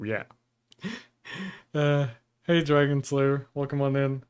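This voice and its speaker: Calm, lowered voice of a man. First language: Portuguese. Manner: deliberately lowered, calm delivery; rambling, with many fillers and laughs. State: dry throat. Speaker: game developer VTuber streamer host. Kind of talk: casual livestream chat with viewers.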